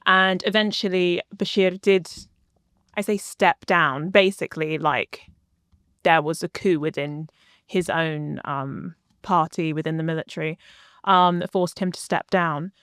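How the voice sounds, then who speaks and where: A young woman, a guest, telling a long story in a casual interview, animated and full of "like" and "um". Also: lowish voice, a little slow, clean tone, dry recording, clean studio sound. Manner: animated storyteller, many fillers like "like" and "um".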